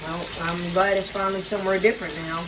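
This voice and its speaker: A man's voice: high voice, little pitch movement, fast talker. A man rambles through a casual vlog walkthrough in a condo kitchen, full of fillers and restarts. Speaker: vlogger. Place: indoor condo kitchen by a running washer. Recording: noisy with running water and appliance sounds.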